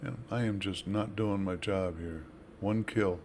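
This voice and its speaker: A man's voice: sits low, steady tone, unhurried, a little mellow. A man giving casual, offhand commentary as he plays a video game, with game noise behind him. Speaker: gaming video host. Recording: clear background noise from game.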